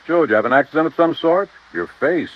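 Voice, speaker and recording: A man's voice: a little high, lively intonation, fast talker, natural voice. An actor playing a courteous, puzzled businessman under suspicion in an old radio drama, with a formal, polite way of talking. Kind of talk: 1950s radio drama acting. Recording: thin, phone-like vintage radio recording.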